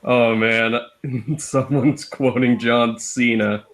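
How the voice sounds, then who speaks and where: A man's voice: mid-range voice, fairly even intonation, takes it slowly, a bit bright. Casual male co-host with a mid-range voice. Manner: brief, jokey reactions. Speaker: live stream co-host. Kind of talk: casual chat.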